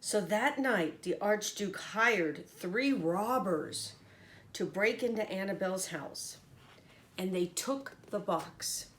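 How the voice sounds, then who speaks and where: A woman's voice: mid-range voice, expressive, slow. A woman reading a picture book aloud to children as a teacher, expressively and with little comments to her listeners. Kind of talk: animated picture-book storytelling.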